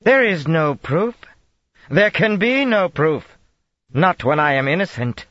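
A man's voice: on the low side, animated, measured pace, fairly bright tone, no room sound, muffled recording, voice pushed up. A man voicing a proud young queen who is a woman, with a haughty and insistent manner. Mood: indignant.

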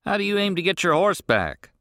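A gruff, blunt older rancher with a drawl, pitched well above the reader's own low voice, as a man puts him on. He talks loud and exasperated, in a dry, close storybook reading. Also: very animated, a little fast, no echo, heavily acted.

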